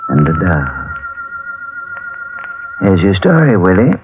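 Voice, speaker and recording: A man acting a gruff, weary, matter-of-fact morgue attendant in a 1950s radio drama. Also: low voice, big pitch swings, slow, full character voice. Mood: somber, probing. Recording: dull old radio recording, music behind.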